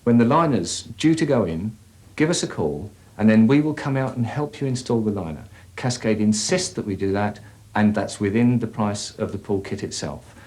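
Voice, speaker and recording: A man giving a measured, matter-of-fact explanation as a company representative in an instructional sales film. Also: fairly low pitch, expressive, a little mellow, lightly acted.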